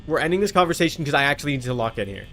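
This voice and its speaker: A man's voice: on the high side, animated, very fast, sharp tone, a little hiss or hum. A man chatting casually as he streams a game, with a blunt, sweary, argumentative way of talking. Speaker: livestream host. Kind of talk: casual gaming stream chat while playing a horror game.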